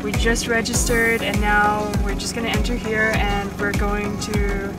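A woman's voice: medium pitch, flat delivery, unhurried, recorded from a distance. A woman chatting casually as a travel vlogger, filming a camping road trip. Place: in a car and outdoors on a road trip. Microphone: camera mic, behind the camera. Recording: loud music, off-mic camera audio in car and outdoors. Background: music, vehicle sounds.

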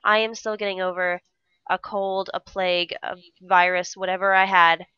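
A woman's voice: medium pitch, little pitch movement, normal speed, bright, forceful tone, smooth, no echo, highs cut off. A young woman co-hosting a casual live stream, upbeat and enthusiastic, sounding stuffy from a cold, on a headset mic. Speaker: co-host of a game dev stream.

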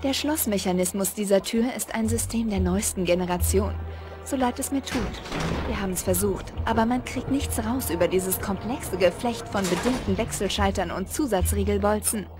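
A woman's voice: mid-range voice, normal speed, over music, lightly acted. An actress playing a female secret agency controller in a video game, giving official briefings in a lightly coy manner. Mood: apologetic.

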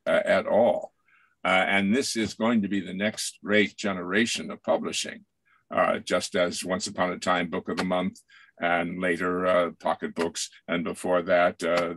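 Older man, low voice, halting speech. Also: vocal fry, no echo. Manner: halting, rambling, earnest encouragement. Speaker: playwright introducing an author. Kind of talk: informal speech at a virtual book launch. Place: small home office, indoors. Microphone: video call.

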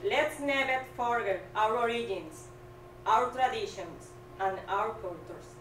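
A woman with a Venezuelan Spanish accent reads a graduation speech in a slow, earnest, careful way, her voice sitting a little high. She stands at a stand mic in a small hall. The sound is roomy and echoey, and she is heard from some distance off the mic. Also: fairly bright tone, slight background noise. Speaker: graduating student. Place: small hall with curtain backdrop. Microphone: stand mic, filmed from a distance.

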